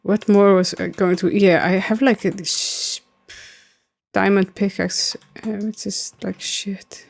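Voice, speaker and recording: A woman's voice: medium pitch, steady tone, takes it slowly, gravelly. A woman in her thirties from Iceland with an Icelandic accent, chatting casually with viewers while she plays a game, with lots of ums and likes. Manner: rambling, many fillers, answering chat. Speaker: streamer. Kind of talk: casual gaming stream chat.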